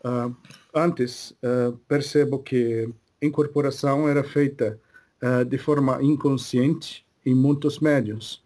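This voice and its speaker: A man channeling a slow-speaking spirit guide. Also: mid-range voice, steady tone, a little mellow, close to an everyday voice. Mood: solemn. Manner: slow, broken phrasing with many pauses.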